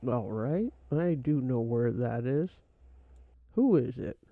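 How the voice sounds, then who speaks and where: A man's voice: medium pitch, expressive, slow, dry recording. A man playing a young, earnest, plain-spoken scrapyard owner in an old time radio drama, in muffled phone-like sound. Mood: matter-of-fact.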